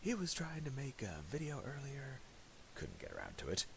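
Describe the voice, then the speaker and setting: A man presenting a casual software tutorial in a halting, mumbled way, on a hissy home recording with clicks. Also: medium pitch, huge pitch swings, creaky.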